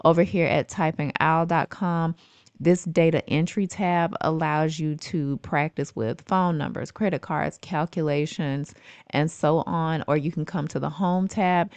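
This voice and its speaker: Low, smooth-voiced woman presenting. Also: steady tone, unhurried, darkish tone, dry recording. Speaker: YouTube host presenting remote job listings. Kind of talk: informal screen-share tutorial narration.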